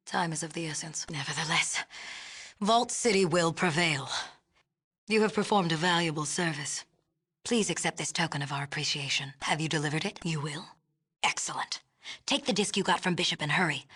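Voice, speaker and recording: A woman's voice: sits low, a bit bright. An actress playing a stern, haughty female leader of an isolationist city in a video game, speaking in a formal, clipped, imperious way. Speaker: game character voice actor. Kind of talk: scripted video game dialogue acting.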